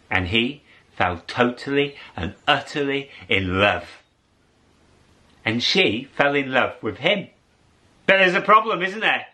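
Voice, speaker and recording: Male storyteller, high-pitched, slow measured pace, theatrical, dramatic pauses, drawn-out words. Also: pushed and bright. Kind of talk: animated oral storytelling to an audience.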